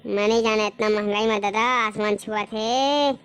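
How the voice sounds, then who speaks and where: A woman playing an exasperated, sharp-tongued village wife in a comic cartoon, grumbling colloquially with a Chhattisgarhi accent. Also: mid-range voice, steady tone, normal speed, a little hiss or hum, lightly acted. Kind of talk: comic cartoon dialogue in dialect.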